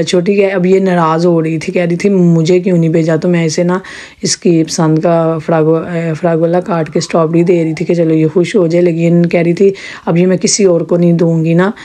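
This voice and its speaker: A woman with a sore, hoarse throat chatting in a rambling home vlog with her viewers. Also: lowish voice, mellow. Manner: chatty, rambling with many restarts. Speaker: vlogger. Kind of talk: casual home vlog narration.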